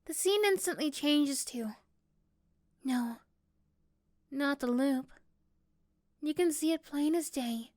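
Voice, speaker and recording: High-pitched woman narrating a story. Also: slow, long pauses, darkish tone, no room sound, studio quality. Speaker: narrator and performer. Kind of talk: scripted second-person story narration.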